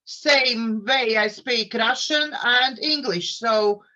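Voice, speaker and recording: A woman's voice: fairly high pitch, takes it slowly, sharp tone. A woman with a Latvian accent hosting a live tarot stream, speaking with careful, non-native phrasing. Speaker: host of the tarot channel. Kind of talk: casual live-stream chat. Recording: dull lo-fi stream audio.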